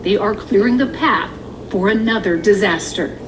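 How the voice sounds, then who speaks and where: Woman tv reporter reading scripted news narration, mid pitch, pretty noisy clip. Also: normal speed. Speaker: television news correspondent. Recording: TV clip with clear background noise.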